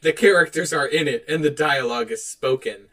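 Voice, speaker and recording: A man with a fairly high voice co-hosts a podcast in a loose, conversational style. He is animated and laughs readily as he talks. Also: a little fast. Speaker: podcast co-host. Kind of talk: casual chat, reading out online reviews.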